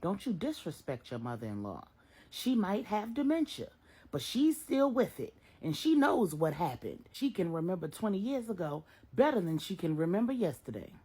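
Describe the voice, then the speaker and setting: A woman's voice: lowish voice, big pitch swings, normal speed, warm tone, breathy edge, pitched above the performer's own voice. A woman voicing a blunt, knowing old mother in a story, sassy, emphatic, affectionate but scolding. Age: older.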